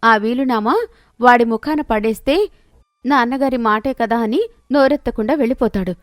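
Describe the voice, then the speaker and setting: Woman acting as scornful village wife, high-pitched, bossy, greedy, nagging, dry storybook recording. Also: normal speed, no room sound, lightly acted. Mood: scheming, nagging. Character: haughty, scheming, greedy wife of an elder brother.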